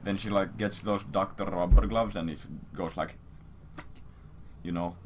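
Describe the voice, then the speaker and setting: Very low-voiced man telling crude stories, noisy stream. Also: fairly even intonation, measured pace. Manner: crude, swearing, rambling. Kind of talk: casual storytelling to stream viewers. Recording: noisy stream audio, keyboard sounds.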